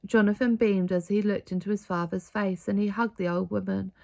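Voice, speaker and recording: A woman reading a picture book aloud in an expressive storytelling style. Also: medium pitch, brisk, creaky, dull audio. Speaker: story reader.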